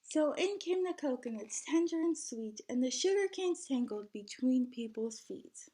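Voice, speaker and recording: High female voice, children's read-aloud, teacher, expressive storybook delivery, guiding prompts for young listeners. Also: moderate pace. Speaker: teacher reading a picture book aloud.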